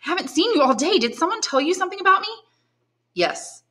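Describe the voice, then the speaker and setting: A woman's voice: extremely high pitch, big pitch swings, fast, sharp tone, pitched above the performer's own voice, heavily acted. A woman reading aloud and voicing a young woman, an earnest and worried granddaughter pleading with her grandfather. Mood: anxious.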